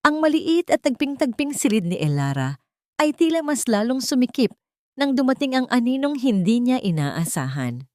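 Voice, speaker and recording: A woman's voice: on the high side, very animated, normal speed, darkish tone, no room sound, studio quality. A woman narrator reading a scripted love drama in a solemn, dramatic manner.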